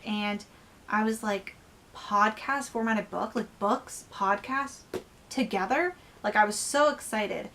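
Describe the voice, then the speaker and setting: A woman's voice: fairly high pitch, fairly bright tone, slight background noise. A young woman reviewing a book in a casual vlog, talking chattily with many fillers while she is losing her voice. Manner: chatty, lots of "like" and "um".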